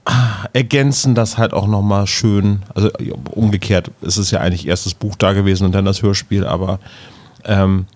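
A man speaks as a podcast co-host in a casual, conversational way. His voice is a little lower than average. He comments and discusses in everyday, unscripted speech. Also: steady tone, moderate pace, darkish tone.

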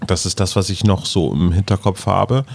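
A man's voice: sits low, steady tone, moderate pace, vocal fry, darkish tone. A man co-hosting a podcast, chatting casually in an informal, jokey way. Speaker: podcast co-host. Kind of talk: casual chat.

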